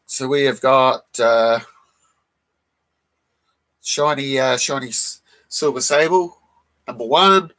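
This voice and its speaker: A man hosting a casual live stream, croaky from a cold, who keeps clearing his throat and greets viewers in the chat one by one. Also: mid-range voice, slow pace, long pauses, sharp tone. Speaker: live stream host showing comic books.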